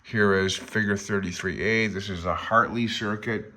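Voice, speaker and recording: Bright, low-pitched man thinking aloud. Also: fairly even intonation, moderate pace. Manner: self-deprecating, unsure, thinking aloud. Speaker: amateur radio hobbyist presenter. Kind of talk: informal read-aloud and commentary on a handbook.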